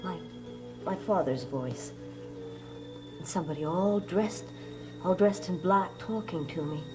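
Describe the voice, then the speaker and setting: An actress playing an anxious, stubborn middle-aged mother in a melodramatic gothic soap opera, with halting, hesitant phrasing. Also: fairly low pitch, natural voice. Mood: hesitant, troubled. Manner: halting, hesitant, repeating words. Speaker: actor in a TV drama. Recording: old TV soundtrack under loud music.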